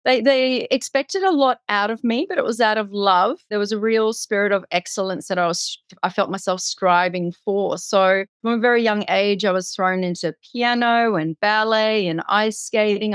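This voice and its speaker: A woman's voice: medium pitch, moderate pace, dry recording, studio quality. A middle-aged woman from Singapore telling her personal story as an interview guest, articulate and reflective. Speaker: podcast guest.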